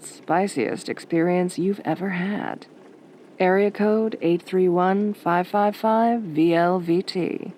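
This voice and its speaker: A woman playing a sultry, sardonic late-night radio DJ in a soft, velvety, teasing voice. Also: fairly low pitch, a little hiss or hum. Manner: flirtatious, teasing, wry. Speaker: radio host character.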